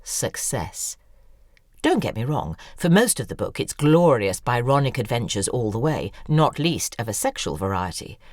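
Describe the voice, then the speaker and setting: An actress in an audio drama playing a self-obsessed, exuberant novelist who speaks in an effusive, articulate, theatrical way. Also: female voice, a bass voice, big pitch swings, moderate pace, a little mellow, dry recording, studio quality, full character voice. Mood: exuberant, grandiose.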